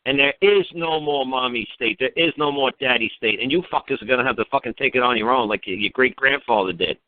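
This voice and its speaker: A man's voice: medium pitch, quick pace, no room sound. A man ranting informal advice on a phone-in talk, blunt and emphatic, swearing freely and firing off mocking rhetorical questions. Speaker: talk show speaker advising a caller. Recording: narrow-band internet radio call.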